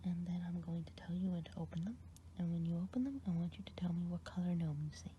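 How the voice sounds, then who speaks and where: Low, mellow whispers from a good forest witch who chats and gossips gently while she tends to you, as a woman acts her out. The voice sits a little deep for a woman and sounds soft and warm. She rambles in a kindly, homely way, like an ASMR roleplay healer. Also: quick pace, highs cut off, a little hiss or hum, natural voice. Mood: coaxing. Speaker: ASMR artist.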